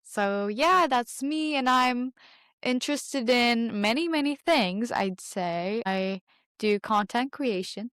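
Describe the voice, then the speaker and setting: A teenage woman from Turkey, a podcast producer chatting as a guest, bubbly, with quick laughs and trailing pauses. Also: fairly high pitch, lively intonation, a bit bright, clean tone, no echo, clean studio sound. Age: teens. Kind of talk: casual interview chat.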